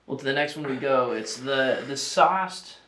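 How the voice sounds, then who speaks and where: A man interviewing a guest in a relaxed, dry way during a food challenge. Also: mid-range voice, a little slow, reverberant, a little hiss or hum. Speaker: radio interviewer. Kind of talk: casual interview.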